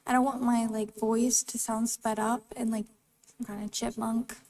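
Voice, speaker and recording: A woman's voice: fairly high pitch, flat delivery, moderate pace, darkish tone, far from the mic. A young-sounding woman hosting a gaming channel, rambling casually over the game. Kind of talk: let's play commentary.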